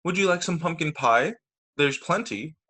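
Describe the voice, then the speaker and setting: A man's voice: on the high side, expressive, moderate pace, sharp tone, voice pushed up. A man voicing a friendly, hospitable countrywoman in a children's storybook read aloud. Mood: warm, welcoming.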